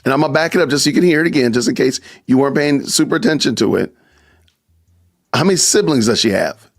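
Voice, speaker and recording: A man's voice: medium pitch, lively intonation, quick pace. A man hosting a live stream podcast, talking casually to his chat and repeating rhetorical questions. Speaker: podcast host.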